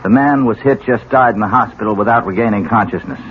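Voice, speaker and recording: Actor as dogged, low-voiced police detective. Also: male voice, quick pace, natural voice. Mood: cautioning. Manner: insistent, plain-spoken. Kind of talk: 1970s radio drama acting. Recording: tinny, noisy old radio broadcast.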